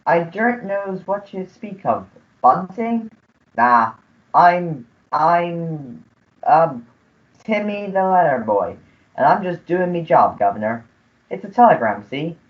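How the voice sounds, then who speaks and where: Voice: medium pitch, sing-song, slow. A performer playing a cheeky, shifty servant disguised as a humble letter boy, with servile, ungrammatical speech in a melodramatic radio play. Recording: muffled, phone-like school radio play recording.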